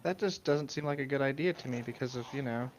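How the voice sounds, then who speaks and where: A man's voice: mid-range voice, quick pace, slight background noise. A man chatting informally as a co-host, chipping in with casual, sweary reactions. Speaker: co-host reading and reacting to fan fiction. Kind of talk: casual chat and commentary.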